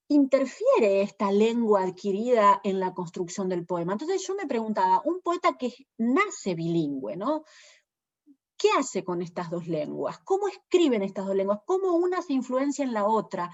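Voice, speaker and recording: A woman with an Argentine accent, a poet giving a thoughtful literary talk, pausing often with fillers as she searches for words. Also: mid-range voice, a little mellow, highs cut off. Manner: thoughtful, hesitant, many fillers. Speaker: poet and presenter.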